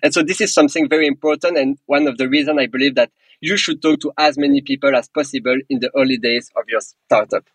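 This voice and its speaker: A man's voice: mid-range voice, steady tone, fast talker, breathy edge, dry recording, rough recording. A man from Belgium with a French accent, a startup co-founder answering podcast interview questions in an earnest, motivational way with small non-native grammar slips.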